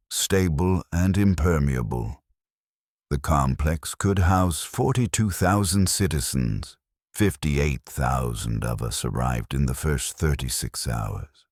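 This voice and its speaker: Very deep, slow, solemn male narrator. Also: a little mellow, dry recording, clean studio sound. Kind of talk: scripted first-person sci-fi war story narration.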